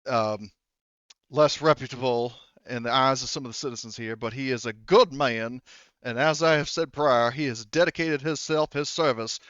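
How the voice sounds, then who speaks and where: A man runs a tabletop game with a smooth, clean voice. He narrates casually, halting and rambling as he improvises. The sound is dry and a little lo-fi. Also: medium pitch, fairly bright tone, no echo. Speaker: game master.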